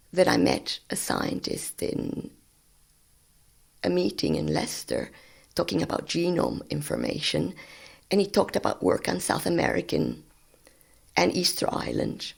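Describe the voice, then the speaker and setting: A woman in her sixties whose first language is Spanish, a guest scientist telling stories of her work in a relaxed, animated way. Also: lowish voice, vocal fry, husky. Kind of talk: conversational storytelling.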